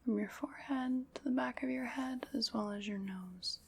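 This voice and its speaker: A woman voicing a calm, teasing female armorer who measures a customer for armor, speaking in soft, mostly unvoiced ASMR whispers with gentle precision and dry jokes. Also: on the high side, moderate pace, creaky, husky. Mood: hushed, attentive. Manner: gentle, precise and wryly joking. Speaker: ASMR roleplay performer.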